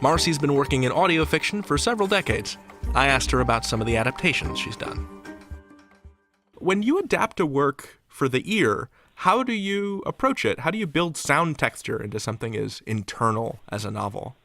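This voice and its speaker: Guy hosting a drama podcast, mid voice, really lively intonation, scripted, music behind. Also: medium pitch, moderate pace. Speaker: podcast host.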